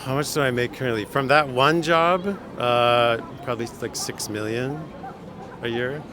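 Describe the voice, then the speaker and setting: A man from the US who teaches at a college answers in a relaxed, musing way. Steady noise is clearly heard around him. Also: medium pitch, normal speed. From United States. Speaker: professor. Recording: noticeable background noise.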